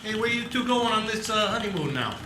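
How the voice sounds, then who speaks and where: Small-town cabbie, male actor, friendly and nosy, high voice, radio drama, roomy sound with background noise. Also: big pitch swings, fairly quick. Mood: curious. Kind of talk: live 1940s radio play acting. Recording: roomy, noisy live stage recording.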